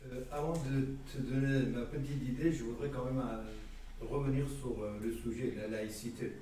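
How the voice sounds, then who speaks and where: A man from Iran speaking in a public discussion, measured and hesitant with many fillers, recorded by a far camera in a meeting room. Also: mid-range voice, steady tone, warm tone, very echoey, a little hiss or hum. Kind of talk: debate contribution.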